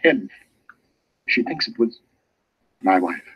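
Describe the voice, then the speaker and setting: An actor playing a distraught, secretive husband in an old-time radio drama, speaking in a halting, urgent, earnest way. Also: male voice, on the low side, animated, fairly bright tone, husky, full character voice. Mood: desperate, insistent. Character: distraught, secretive husband confiding a family murder. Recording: muffled vintage radio recording.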